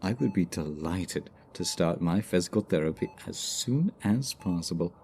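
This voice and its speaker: A man's voice: low-pitched, animated, moderate pace, darkish tone, a little hiss or hum. A man acting a smug, flirtatious supervillain in a hospital ASMR roleplay, teasing and theatrically sarcastic. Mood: mocking. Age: 20s.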